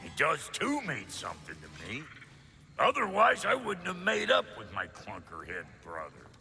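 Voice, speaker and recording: An actor playing a crusty, bickering dwarf blacksmith in a video game, blunt and full of folksy backwoods slang. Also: male voice, mid-range voice, huge pitch swings, normal speed, vocal fry, bright, forceful tone, long reverb tail, faint music behind, heavily acted. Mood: indignant, cocky. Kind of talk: cinematic video game acting.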